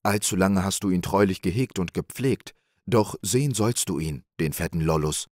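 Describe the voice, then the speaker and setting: A man voicing a pious, calm monk in a storybook tale, speaking in a gentle, measured way. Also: low voice, no room sound, clean studio sound. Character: pious calm male monk, older brother figure.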